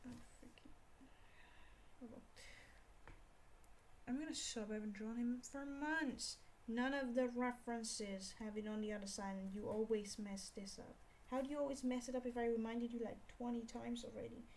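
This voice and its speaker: A young woman with a Scottish accent chatting casually on an art livestream, rambling and scolding herself out loud in exasperation. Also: on the high side, steady tone, moderate pace, slight background noise, rough recording. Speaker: art streamer. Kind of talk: casual livestream chat while drawing.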